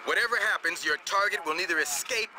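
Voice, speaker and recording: An actor playing a blunt, hard-bitten military officer giving a businesslike briefing in a video game. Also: male voice, high voice, quick pace, close to an everyday voice. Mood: urgent, businesslike. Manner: blunt, businesslike, full of jargon. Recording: clear outdoor vehicle noise behind.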